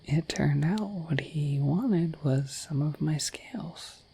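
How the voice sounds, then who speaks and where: A woman voicing a shy, friendly dragon in a breathy whispered ASMR roleplay, rambling gently and hesitantly to the listener. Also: low voice, takes it slowly, a little hiss or hum. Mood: musing, gentle. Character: shy, friendly, dorky dragon in humanoid form.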